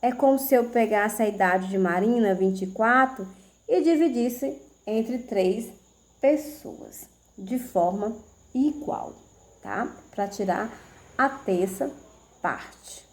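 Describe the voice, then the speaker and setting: Slow, patient woman teacher. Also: fairly high pitch, animated, a little mellow. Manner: slow, patient, checks in with "tá certo?". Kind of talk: video math lesson for children.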